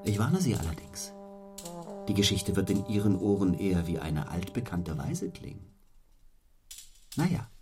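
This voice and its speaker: An actor playing a cold, stern, fiercely ambitious clergyman in a radio play, speaking in a formal, deliberate, rhetorical way. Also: deep voice, very animated, over music. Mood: brusque, impatient. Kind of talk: German radio play acting.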